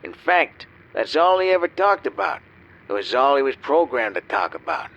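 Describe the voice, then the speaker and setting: An actor playing a cynical, down-and-out gambler narrating a noir radio play in a wry, world-weary way. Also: male voice, a little high, very animated, moderate pace, a bit bright. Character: down-and-out cynical male gambler and con man. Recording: dull, slightly lo-fi.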